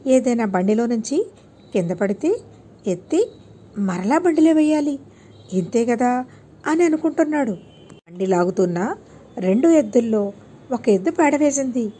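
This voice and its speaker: A woman's voice: a little high, big pitch swings, normal speed, long pauses, mellow, slightly rough. A woman narrating a scripted moral tale for children as an audiobook storyteller.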